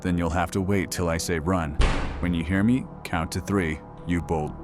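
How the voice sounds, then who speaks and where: An actor playing a commanding late-night radio host with a dry, sardonic manner in a horror audio drama. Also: male voice, sits low, steady tone, moderate pace, lightly acted. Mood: urgent, commanding. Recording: music behind the voice.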